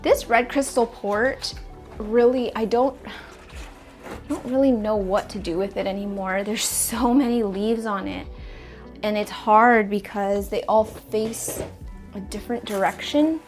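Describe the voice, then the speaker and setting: A woman's voice: medium pitch, a little slow, faint music behind. A sniffly woman chatting casually and rambling a little while she rearranges houseplants in her apartment. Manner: chatty, rambling, self-correcting. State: sniffly, stuffy nose. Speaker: plant vlogger. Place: apartment plant room.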